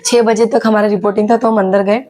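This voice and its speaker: A young woman from Maharashtra with a slightly sore, hoarse throat, telling a casual vlog story with many hesitations. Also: mid-range voice, level tone, quick pace, darkish tone, a little hiss or hum. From Maharashtra, India. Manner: chatty, many hesitations and English words mixed in. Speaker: YouTuber sharing her exam experience.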